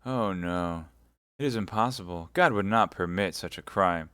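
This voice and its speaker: A man's voice: low voice, bright, forceful tone, clean tone, no room sound, clean studio sound. A man voicing a grave, stern swordsman. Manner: grave, measured. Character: grave older male swordsman, stern and broken.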